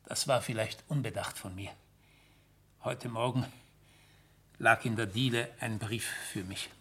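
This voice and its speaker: Troubled wealthy father for radio drama: husky, formal, agitated, full of self-reproach. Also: lowish voice, fairly even intonation, unhurried, bright, forceful tone, full character voice. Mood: sorrowful, downcast. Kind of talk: German radio drama acting.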